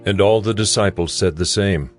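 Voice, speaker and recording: Deep-voiced male scripture narrator. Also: normal speed. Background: music.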